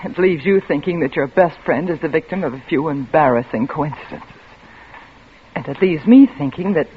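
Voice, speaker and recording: A woman's voice: deep voice, normal speed, a little hiss or hum, natural voice. An actress playing an anxious, stubborn young woman investigator in a 1940s radio thriller, with a dramatic delivery that stammers when rattled. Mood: rattled, defiant. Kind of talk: 1940s radio thriller acting with first-person narration. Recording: tinny old radio recording with music.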